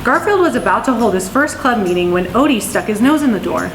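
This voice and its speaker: Woman, children's story reader, expressive delivery, echoey room, faint background noise. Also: on the high side, a little fast. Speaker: police dispatcher reading stories aloud. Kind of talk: children's storybook read-aloud.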